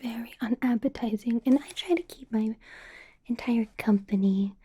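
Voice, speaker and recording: A woman's voice: on the high side, dark tone. Woman as a whispering, possessive vampire boss. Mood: coaxing, businesslike. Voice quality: breathy. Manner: slow, coaxing, intimate whisper with murmured hums. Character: possessive, doting female vampire boss, smooth-talking and money-minded. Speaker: ASMR roleplay performer. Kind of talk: whispered ASMR roleplay.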